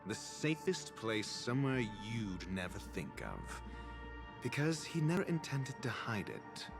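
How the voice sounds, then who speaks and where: An actor playing a haughty, blunt intellectual in a video game, articulate, dry and condescending. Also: male voice, fairly low pitch, very animated, measured pace, vocal fry, over music, full character voice. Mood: explaining, assured.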